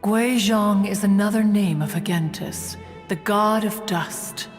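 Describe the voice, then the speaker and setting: A woman's voice: mid-range voice, a little slow, natural voice. Woman voicing a pompous crane spirit. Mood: reminiscing. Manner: pompous, stately, refers to herself as one. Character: proud haughty female crane spirit and inventor. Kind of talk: video game voice acting. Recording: long reverb with game music behind.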